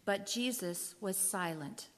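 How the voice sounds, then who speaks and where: Unhurried woman narrator in a big hall. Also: mid-range voice, a bit bright. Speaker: narrator in a church readers' theater. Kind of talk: scripted narration of scripture. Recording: reverberant hall.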